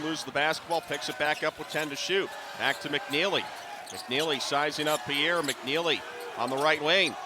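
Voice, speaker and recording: Higher-voiced male basketball play-by-play announcer. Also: noisy background. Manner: clipped running descriptions, rising to excited calls. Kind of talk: live radio sports commentary. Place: courtside in a basketball arena.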